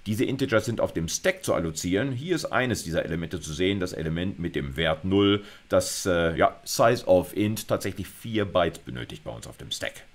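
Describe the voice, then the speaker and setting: A man's voice: low voice, lively intonation, moderate pace, hardly any pauses. A middle-aged man giving an informal video lecture, explaining conversationally with colloquial asides. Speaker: university lecturer. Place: indoors at a computer, recorded lecture.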